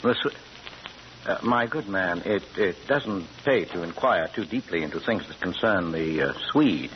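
A man's voice: low-pitched, animated, normal speed. A man acting as a scholarly doctor turned amateur burglar in a vintage radio drama, speaking precisely and hesitantly with polite pomposity. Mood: bluffing, inquisitive. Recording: muffled old radio broadcast, faint noise.

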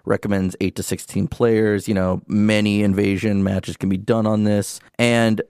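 A man with a fairly low voice chats eagerly about game maps on a podcast, tossing in quick asides. The sound is dry and close, with no room around it. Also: flat delivery, darkish tone, smooth, dry recording. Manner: chatty, enthusiastic, quick asides. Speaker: podcast co-host. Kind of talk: casual gaming podcast chat.

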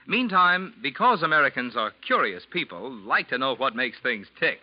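A man working as a 1940s radio announcer, reading a commercial with punchy, enthusiastic salesmanship. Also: on the high side. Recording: muffled 1940s radio recording.

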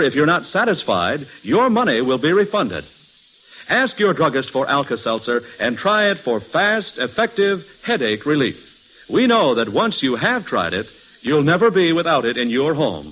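A man's voice: a little high, fairly bright tone, low-quality recording. A man announcing an old-time radio commercial with a polished, emphatic sales delivery. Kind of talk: vintage radio commercial read. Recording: hissy vintage radio recording.

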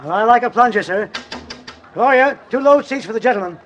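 An actor playing a brisk, polite movie theater usher with rattling patter in 1950s radio comedy. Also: male voice, very high-pitched, lively intonation, fairly bright tone, highs cut off, a little hiss or hum. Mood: brisk, officious.

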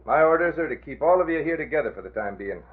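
A gruff police guard in a 1940s radio play. He talks fast and bluntly in a husky, excitable voice that swoops around, heard through a tinny, narrow old recording. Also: a little high. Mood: firm. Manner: blunt, slangy cop talk.

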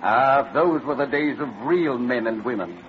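An actor playing a chatty, inquisitive airline passenger in an old-time radio drama. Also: male voice, mid-range voice, expressive, normal speed, lightly acted. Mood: admiring. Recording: muffled old radio, faint noise.

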